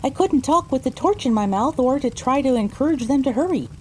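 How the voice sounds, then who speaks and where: A woman's voice: sits high, expressive, a little fast, darkish tone, slight background noise. A woman narrating a first-person story for an audio drama, reading descriptively with dramatic phrasing.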